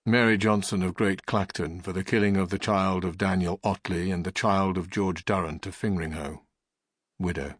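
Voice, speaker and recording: A man with a deep voice narrates an audiobook gravely and formally. His tone is husky and the delivery deliberate, in a dry, close recording. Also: steady tone, no echo.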